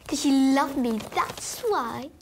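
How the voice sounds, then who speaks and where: A woman's voice: high voice, expressive, slow, noisy background, heavily acted. A woman playing a cheeky, teasing young little sister in a radio play.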